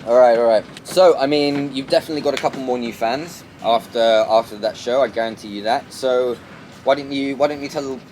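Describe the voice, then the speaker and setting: A man hosting a casual interview, informal and slangy, quick to agree and affirm. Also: medium pitch, darkish tone. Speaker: interview host. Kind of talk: casual chat. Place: outdoors on an urban rooftop. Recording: faint outdoor city noise.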